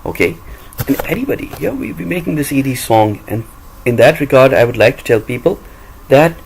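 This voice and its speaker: A man's voice: medium pitch, very animated, brisk, vocal fry, a little hiss or hum, rough recording. A man from Pakistan with a Pakistani English accent, whose first language is Urdu, speaking bluntly and defiantly in a personal monologue. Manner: blunt, combative, repetitive emphasis. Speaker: voice-over reader and vlogger.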